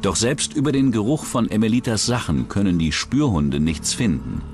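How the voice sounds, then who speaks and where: A man's voice: on the low side, expressive, normal speed, music in the background. A man reading scripted true-crime documentary narration as the narrator.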